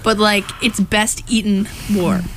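A woman's voice: mid-range voice, level tone, a little slow, a bit bright, slight background noise, rough recording. A young woman with a Filipino accent chatting casually with friends, bubbly and teasing. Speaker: podcast co-host.

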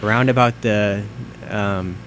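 Low-voiced young man chatting over background noise. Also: steady tone, unhurried, a bit bright. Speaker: conversation leader asking questions. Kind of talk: casual chat. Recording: noisy room with air-conditioning hum.

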